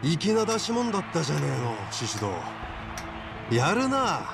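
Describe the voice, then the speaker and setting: Languid, flamboyant young yakuza boss. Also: male voice, high voice, sing-song, normal speed, vocal fry, music in the background, heavily acted. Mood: amused, mocking. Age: young adult. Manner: languid, teasing drawl. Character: flamboyant young yakuza patriarch, playful, menacing, arrogant. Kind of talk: cinematic video game acting.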